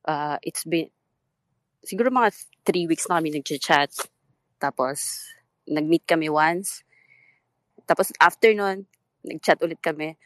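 A Filipino woman in her thirties with a thick Filipino accent, telling her story with hesitant, halting speech and mixing English with Tagalog. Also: low voice, dry recording. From Philippines. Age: 30s. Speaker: guest seeking advice. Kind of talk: casual chat.

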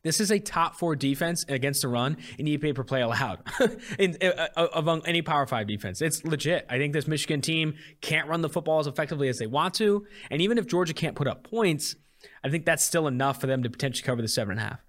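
A man's voice: fairly high pitch, lively intonation, fast, a bit bright. A man hosting a sports podcast, casually analysing games and betting in an emphatic, mildly profane way.

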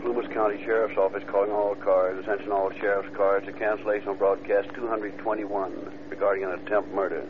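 An actor playing a businesslike police radio dispatcher in 1930s style, calling out clipped, monotone bulletins. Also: male voice, on the low side, a little fast, hardly any pauses, natural voice. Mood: flat, official. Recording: muffled, noisy old police radio.

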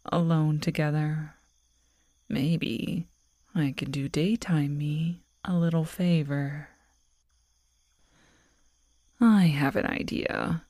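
A woman's voice: deep voice, mellow, no echo. A woman playing a predatory, teasing werewolf girlfriend in an intimate, half-whispered ASMR roleplay, close to the microphone. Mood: playful, predatory.

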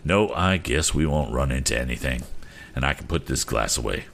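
A man voicing a seasoned sea captain, hearty and politely measured, in a storybook reading. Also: rumbling low, animated, creaky, a bit bright, a little hiss or hum, voice pushed down, exaggerated voice. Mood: calm, reassuring.

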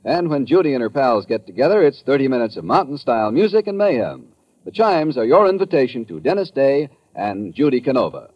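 A man's voice: mid-range voice, sing-song, a little fast. A man with an American accent reading scripted announcements in 1950s radio style, polished and emphatic. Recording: muffled old radio broadcast.

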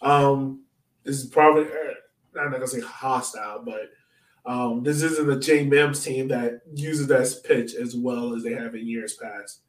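Roomy, slightly distant male podcast co-host, mid-pitched, informal and slangy, trading sports opinions. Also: steady tone, measured pace. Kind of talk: casual soccer banter and questions. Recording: echoey room, off the mic.

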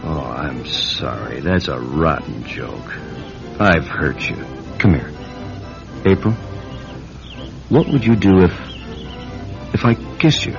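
An actor playing a protective, wisecracking writer turned amateur sleuth in a radio drama. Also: male voice, rumbling low, lively intonation, slow, vocal fry, pauses often, exaggerated voice. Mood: tender, apologetic. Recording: dull radio recording, music behind.

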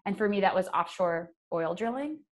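A woman's voice: mid-range voice, lively intonation, moderate pace. A young American woman giving earnest, conversational interview answers as an activist guest, explaining her points and voicing imagined thoughts.

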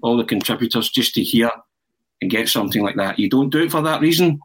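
A man's voice: medium pitch, lively intonation, fast, breathy edge, rough recording. A man hosting a football podcast in casual chat, joking and chatty. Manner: jokey, chanting, laughs often. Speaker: podcast host.

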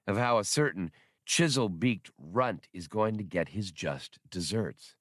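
Gruff, menacing starship captain, read by a man. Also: fairly low pitch, animated, unhurried, no echo, clean studio sound. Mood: dark, threatening. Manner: curt, threatening.

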